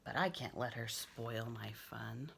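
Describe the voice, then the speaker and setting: A woman's voice: rumbling low, measured pace, gravelly, a bit bright, slight background noise, pitched below the performer's own voice, exaggerated voice. A woman voicing an imaginative, boisterous schoolboy in a children's storybook, dramatic and full of sound effects. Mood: excited, playful. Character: imaginative, boisterous schoolboy who loves noises. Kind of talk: children's storybook narration in character.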